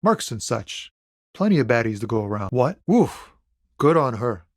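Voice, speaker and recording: A man's voice: medium pitch, big pitch swings, normal speed, no echo, studio quality. A man voicing a laid-back, soulful lorry driver in a video game, loyal and reluctant, talking in a casual, hesitant, earnest way with streetwise slang. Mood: relieved, mellow. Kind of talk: conversational video game character dialogue.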